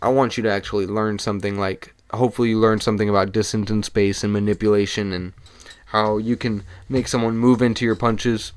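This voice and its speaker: Young man, hoarse worn-out voice, low pitch, dry close sound, casual swearing podcast host. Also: fairly even intonation, dry recording. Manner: casual and profane, talks fast in bursts. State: hoarse, losing his voice. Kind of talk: casual solo podcast breakdown.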